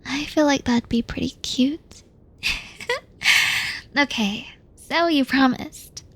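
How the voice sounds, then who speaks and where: A woman voicing a gloomy young woman who confides in a close friend, talking softly and often half-whispering, with sighs. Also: high voice, takes it slowly, slightly rough, exaggerated voice. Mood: wistful. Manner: soft-spoken, often half-whispered, sighing. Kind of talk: one-sided audio roleplay to the listener.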